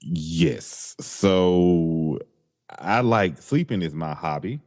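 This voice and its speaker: A man's voice: low-pitched, very animated, drawn-out words, gravelly, a little mellow. A man in his forties co-hosting a podcast, joking and laid-back, stretching out his phrases. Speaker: podcast co-host. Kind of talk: casual chat.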